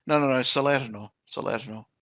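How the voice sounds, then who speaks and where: A man's voice: medium pitch, moderate pace, creaky, fairly bright tone, dry recording. A middle-aged man hosting a podcast, chatting loosely and leaning on "you know" and "um". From Australia. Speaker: podcast host. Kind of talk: casual chat.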